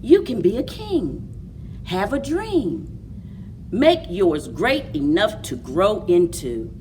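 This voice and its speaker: A woman leads a story time for young children in an expressive, very slow delivery. Her voice sits a little low but swings widely in pitch. She is picked up from some way off the microphone. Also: distant mic, slight background noise. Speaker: library story time host. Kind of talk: children's picture book read-aloud and story time.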